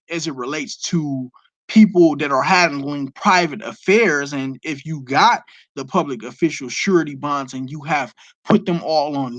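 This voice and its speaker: A man talks on a live stream in a fairly high voice, recorded dry and close. He lectures emphatically, answering viewer questions and letting profanity slip in. It sounds like casual, unscripted stream talk. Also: a bit bright, dry recording. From Detroit, USA.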